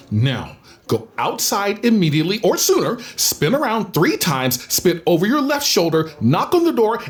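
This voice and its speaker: A woman's voice: extremely low pitch, heavily acted. A woman playing a grand, superstitious circus thespian in an audio drama, speaking in a declamatory, precise, dramatic manner. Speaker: voice actor. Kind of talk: theatrical audio drama acting.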